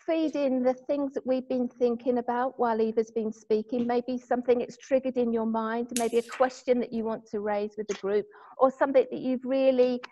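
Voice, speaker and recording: A woman hosting a small online group meeting, gentle and encouraging, talking casually with some fillers over a video call. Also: on the high side. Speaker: group organiser and host. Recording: dull lo-fi video call audio.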